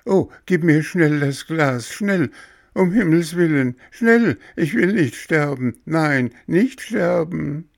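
A man's voice: mid-range voice, lively intonation, a little slow, gravelly, pitched above the performer's own voice. A man voicing a stubborn, easily frightened little puppet boy in a storybook, whining and pleading dramatically. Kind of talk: storybook character voice.

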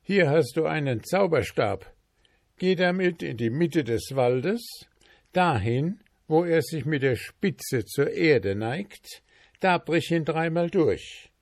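A man voicing a gruff, bearded old dwarf king in a storybook reading, stern and grudging. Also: fairly high pitch, animated, takes it slowly, a little mellow, dry recording, studio quality, pitched above the performer's own voice, full character voice. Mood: commanding.

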